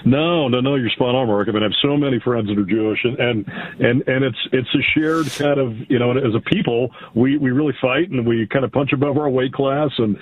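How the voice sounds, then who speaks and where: A man's voice: medium pitch, a little fast. American man on a tinny phone line. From United States. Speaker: radio show caller. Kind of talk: conversational political call-in. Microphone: telephone.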